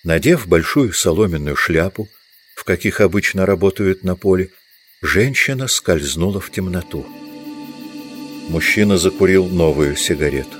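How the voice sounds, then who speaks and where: A man's voice: sits low, moderate pace, soft background music. A man reading scripted literary narration as the narrator of an audiobook.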